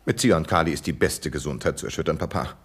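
A flippant young dandy speaks with airy, mocking ease in a radio play. His voice is very low and husky, with lively swings in pitch. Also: fast talker, heavily acted. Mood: coolly sinister. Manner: airy, flippant, coolly sinister. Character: idle, dandyish young man, flippant and sly.

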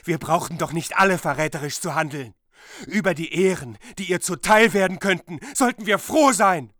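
A man's voice: sits high, lively intonation, normal speed, a bit bright, dry recording, studio quality. A man playing a loyal, idealistic noble warrior, earnest and insistent, in a radio play. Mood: indignant, earnest. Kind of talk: German radio play acting.

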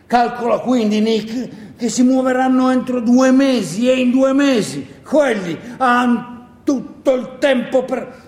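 A man's voice: very high voice, a little slow, a little mellow. An actor playing a rambling, coaxing country man in a theatrical radio monologue, hesitating and repeating himself as he urges someone on. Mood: agitated, urgent. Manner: hesitant, repetitive, coaxing, full of asides. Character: wheedling, rambling country man urging a young partisan to hide. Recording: echoey hall, long reverb, faint noise.

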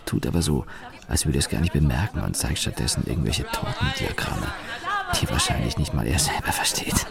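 A man's voice: rumbling low, huge pitch swings, a little fast, creaky, a loud crowd, heavily acted. An actor playing a sardonic, brooding male office worker in a wry, colloquial monologue. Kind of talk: radio play monologue.

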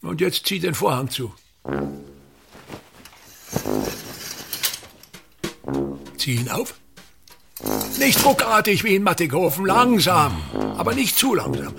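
Pompous, gravelly old theatre tyrant. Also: male voice, sits high, huge pitch swings, normal speed, over music, full character voice. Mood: bossy, exacting. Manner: declamatory, haranguing, emphatic. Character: pompous, tyrannical ageing actor-manager, bitter, self-pitying family father. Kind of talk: theatrical radio play monologue.

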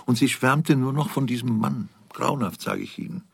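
Give the part to an older father, shy yet proud and morally outraged. His voice is gravelly and husky, and he speaks haltingly and earnestly. It is a radio play, recorded close and dry. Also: medium pitch, fairly even intonation, dry recording. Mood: earnest, aggrieved.

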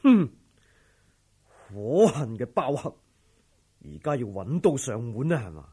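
Man playing an arrogant husky-voiced Cantonese noble villain. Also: mid-range voice, sing-song, unhurried, dark tone. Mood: scornful, menacing. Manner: blustering, scornful, with disdainful snorts. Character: arrogant, violent young imperial brother-in-law, a corrupt villain. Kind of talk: Cantonese audio drama acting.

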